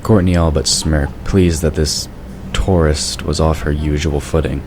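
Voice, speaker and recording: A man's voice: a bass voice, fairly even intonation, measured pace, background noise. A man reading scripted story narration as the narrator of an audio drama.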